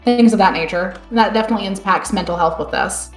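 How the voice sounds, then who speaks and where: A woman's voice: medium pitch, fast. Woman hosting a panel stream, chatty, roomy echo, faint music. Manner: relaxed, chatty, many fillers like "end up". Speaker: panel host. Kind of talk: hosting a live panel discussion.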